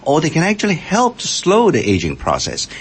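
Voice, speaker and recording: An older man from Malaysia, a scientist and interview guest, explaining his points with halting starts. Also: fairly high pitch, wildly swooping pitch, slightly rough. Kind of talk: radio interview answers.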